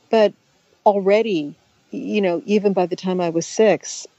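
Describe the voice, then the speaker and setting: A middle-aged woman whose first language was Hungarian speaks in a low, mellow voice, chatty and full of restarts and asides. The recording is dry and close, with a slightly dull, lo-fi tone. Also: no echo. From United States. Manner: chatty, many restarts and asides, laughs softly. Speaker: author and guest. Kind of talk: relaxed conversational interview.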